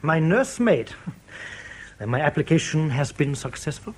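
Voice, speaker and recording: An actor playing an urbane, guarded German male defector in a radio drama, formal, wry and precise, with a husky voice. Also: a little high, big pitch swings, measured pace, heavily acted. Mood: wry, courteous.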